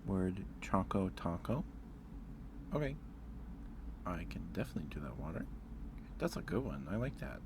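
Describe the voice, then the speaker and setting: A man hosting an ASMR livestream in a soft, whispery voice, hushed and unhurried, close to the listener. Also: low voice, big pitch swings, background noise. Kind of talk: ASMR, casual chat to live viewers.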